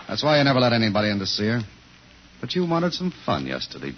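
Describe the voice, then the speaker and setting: An American actor playing a tough, cool husband turned detective in a 1950s radio thriller, with clipped, deadpan, accusing delivery. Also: male voice, mid-range voice, fast, slight background noise. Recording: muffled old radio recording with music.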